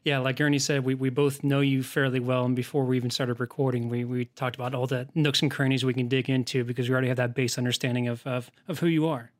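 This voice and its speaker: Friendly, halting podcast co-host man. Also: mid-range voice, level tone. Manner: halting, full of restarts, friendly. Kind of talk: casual podcast chat.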